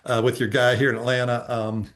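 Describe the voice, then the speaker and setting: A man's voice: medium pitch, level tone, brisk, a bit bright. A man hosting a casual networking video call, upbeat and persuasive with lots of fillers.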